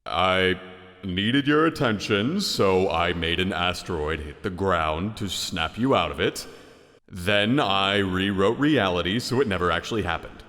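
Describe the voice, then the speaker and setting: Swimming in long reverb, an exasperated godlike cartoon being acted by a man talks in a lively, swooping voice. He is earnest and hesitant, and easily flustered while presiding over his heroes. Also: low voice, a little slow. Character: exasperated, godlike giant hand presenting his chosen heroes. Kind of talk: animated comedy acting.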